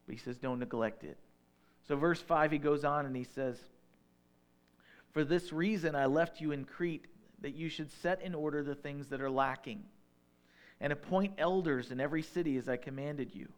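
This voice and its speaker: A man in his thirties with a Northern Illinois accent, preaching a sermon in a relaxed, conversational way with folksy asides. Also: a little high, normal speed. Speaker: pastor.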